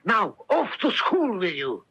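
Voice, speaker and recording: An actor playing a gentle, loving old father in a radio drama, with a thin, husky voice and tender manner. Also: high-pitched, wildly swooping pitch, measured pace, muffled recording, full character voice.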